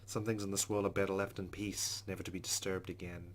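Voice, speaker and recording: A man's voice: low voice, fast talker. A man hosting a let's play, reading visual novel narration aloud and adding asides. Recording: loud steady background noise.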